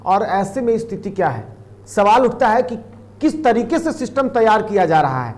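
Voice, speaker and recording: A man's voice: high voice, very animated, moderate pace, darkish tone. A man anchoring the news, commenting on a report in an emphatic, wordy, repetitive way.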